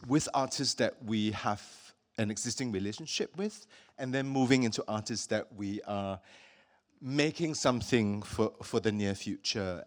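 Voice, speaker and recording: A man from Singapore hosting a public talk with an artist, speaking thoughtfully with many pauses and fillers. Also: on the low side. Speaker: host.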